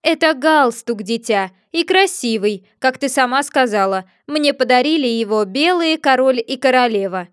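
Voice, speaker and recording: A woman's voice: sits high, expressive, dry recording, clean studio sound. A woman voicing a pompous, touchy male egg figure who lectures and takes offense easily. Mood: condescending. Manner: haughty, lecturing, quick to take offense.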